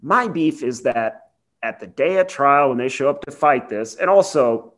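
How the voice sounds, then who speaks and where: A man with a mid-pitched voice talks as a podcast co-host. He explains legal matters casually and conversationally, slipping in wry asides. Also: animated, moderate pace.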